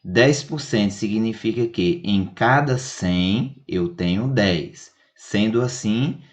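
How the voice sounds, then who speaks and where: A man with a fairly low voice gives an instructional lesson as a math teacher. He speaks very slowly and methodically, setting out each step in repeated, orderly phrasing. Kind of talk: instructional video lesson explanation.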